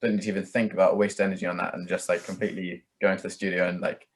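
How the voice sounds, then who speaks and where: A man's voice: low-pitched, little pitch movement, rapid-fire. A young man chatting casually as an interview guest, a musician who rambles and hedges with plenty of filler words. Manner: rambling, hedging, peppers talk with "like" and "kind of". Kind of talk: casual chat.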